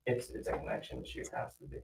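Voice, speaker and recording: A man's voice: rapid-fire, a little mellow, far from the mic. A man in a cigar lounge, sorting out a live stream and making jokes. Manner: fussing with tech, joking. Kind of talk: casual chat. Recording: echoey room, off-mic.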